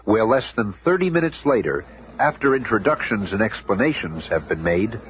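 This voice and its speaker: A male narrator in a 1970s radio drama, telling the story in a brisk, dramatic way. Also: on the low side, very animated. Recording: dull noisy 1970s radio recording.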